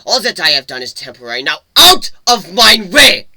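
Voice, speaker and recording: A man's voice: high voice, very animated, moderate pace, vocal fry, a crowd in the background, voice pushed up, full character voice. A man voicing a battle-worn older mechanical pony, bitter, intense and curt, in a story reading. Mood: angry, commanding. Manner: intense, angry, curt, swearing.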